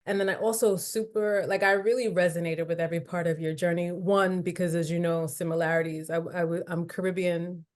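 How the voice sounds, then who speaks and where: A woman hosting a podcast conversation in a somewhat low voice. She affirms her guest often with quiet "yeah"s and answers in a relaxed, thoughtful way. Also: a little fast, few pauses. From United States. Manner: affirming listener, frequent "yeah" and "mm". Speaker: podcast host. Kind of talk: conversational interview.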